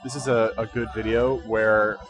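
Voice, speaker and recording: A man's voice: on the low side, little pitch movement, moderate pace, lo-fi sound, music in the background. A man with a slightly congested voice, hosting a casual livestream and rambling through fitness advice in slangy, informal talk. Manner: slangy, informal, thinking aloud. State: recently woken up. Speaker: livestream host giving fitness and diet advice.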